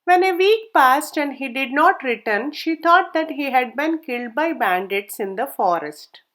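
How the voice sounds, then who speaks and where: A woman narrates a storybook tale in a high-pitched voice. Her delivery is very lively, rising and falling widely as she reads the scripted lines. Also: a little slow. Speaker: storyteller, reader.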